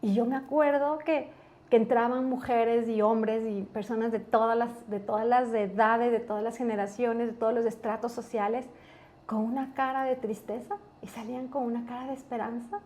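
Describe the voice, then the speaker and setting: A woman with an Ecuadorian accent telling family stories as an interview guest, earnest and animated, often restarting her sentences. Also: a little high, moderate pace. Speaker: guest, business executive. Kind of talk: casual interview storytelling.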